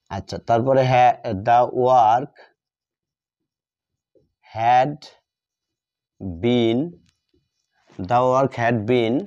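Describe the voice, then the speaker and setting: A man with a Bengali accent teaching a grammar lesson step by step, dictating in short, halting pieces and repeating himself. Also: medium pitch, a little mellow. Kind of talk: step-by-step lesson, explaining while writing. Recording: dull, muffled lo-fi audio.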